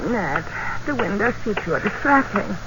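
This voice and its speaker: An actress playing a worried, gentle wife and mother in an old radio drama, speaking with emotional concern. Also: medium pitch, big pitch swings, measured pace. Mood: drowsy, irritated. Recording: muffled, very noisy old radio recording.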